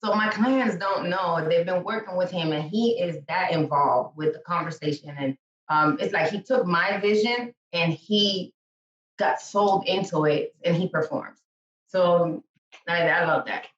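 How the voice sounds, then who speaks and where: A woman's voice: lowish voice, a little slow. Chatty woman, Spanish first language, echoey call. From Puerto Rico. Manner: chatty, many fillers and restarts, laughs often. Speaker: guest, business owner. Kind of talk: casual interview. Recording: echoey, distant, dull call audio.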